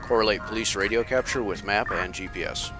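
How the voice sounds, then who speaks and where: An actor playing a calm, analytical masked vigilante detective with a dry, measured delivery. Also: male voice, lowish voice, over music, natural voice. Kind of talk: amateur motion comic voice acting.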